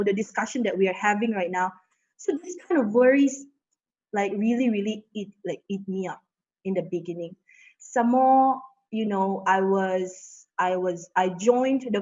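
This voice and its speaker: Chatty young woman on a video call. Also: medium pitch. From Indonesia. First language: Indonesian. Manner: chatty, filler-heavy with frequent "you know". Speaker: panelist. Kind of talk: casual panel talk. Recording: slightly muffled video call audio.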